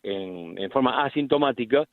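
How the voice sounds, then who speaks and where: Argentine doctor on a tinny phone line. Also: male voice, medium pitch, expressive, no room sound. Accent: Argentine Spanish. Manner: deliberate, explanatory, frequent restarts. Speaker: medical specialist guest. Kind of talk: explanatory radio interview by phone.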